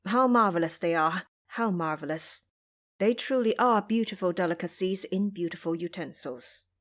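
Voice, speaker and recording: A woman's voice: lowish voice, normal speed, close to an everyday voice. A woman playing an earnest male travelling monk, speaking formally and deferentially in an audio drama. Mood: admiring. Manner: formal, deferential, gently scolding.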